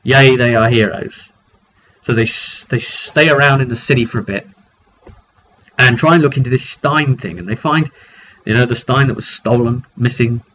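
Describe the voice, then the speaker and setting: Male game master, mid-pitched, casual off-the-cuff storyteller with halting delivery; muffled home-grade recording. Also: fairly bright tone. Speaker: game master recounting a tabletop session. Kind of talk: casual, improvised storytelling of a fantasy roleplay game. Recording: dull lo-fi audio with faint noise.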